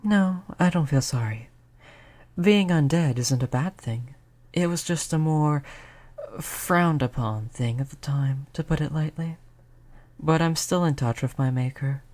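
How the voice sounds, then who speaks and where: A performer voicing a gentle, polite vampire in an intimate roleplay audio, speaking in a soothing, conversational way at a medium pitch. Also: a little slow, lightly acted. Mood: friendly, reminiscing. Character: gentle, reassuring vampire, eighty years old, eternally polite. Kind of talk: roleplay audio drama, intimate one-on-one talk to listener.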